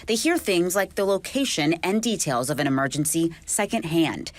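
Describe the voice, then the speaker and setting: A woman's voice: lowish voice, lively intonation, normal speed, no echo. A woman with an American accent narrating a scripted television news report.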